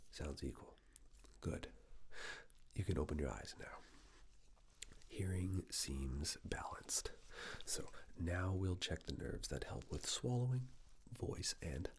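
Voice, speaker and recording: A man murmurs in a very deep, half-whispered voice with a creaky edge. He plays a clinician in ASMR style, giving gentle instructions step by step at an unhurried pace. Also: animated. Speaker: ASMR performer playing a clinician giving a cranial nerve exam. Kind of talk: ASMR medical roleplay, soft near-whisper.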